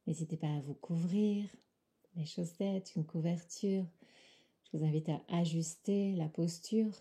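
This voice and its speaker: Soft, breathy woman guiding deep relaxation. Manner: soft, breathy, often near whisper; calm, soothing, unhurried phrasing. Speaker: yoga nidra guide. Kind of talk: guided relaxation meditation.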